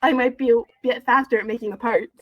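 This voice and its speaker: A woman voicing a calm, wry male monkey inventor from an animal fan story. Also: on the high side, fast talker, no echo, highs cut off, lightly acted.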